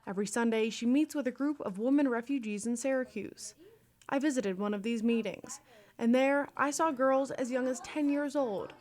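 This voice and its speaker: Young female reporter narrating a news feature. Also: a little high. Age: young adult. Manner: calm, deliberate narration. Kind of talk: news package voice-over.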